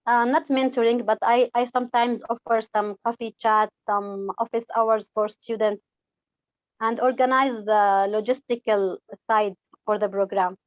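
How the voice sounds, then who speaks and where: A woman telling her personal story earnestly on a video call, talking quickly and restarting her sentences. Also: on the high side, fairly even intonation, dry recording, lo-fi sound. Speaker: storyteller guest.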